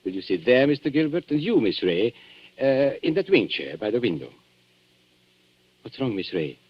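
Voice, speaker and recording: An actor playing a suave, menacing antique dealer with formal, sardonic politeness in a 1940s radio thriller. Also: male voice, mid-range voice, very animated, normal speed, breathy edge. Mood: composed, threatening. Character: suave, menacing male antique dealer toying with guilty visitors. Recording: dull 1940s radio broadcast.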